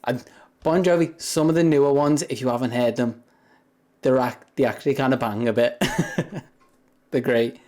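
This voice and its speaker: A young man with a deep voice talks loosely to his viewers on a livestream. He rambles from one thought to the next and breaks into laughter often. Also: fairly even intonation, moderate pace, long pauses, mellow. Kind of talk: casual chat with viewers.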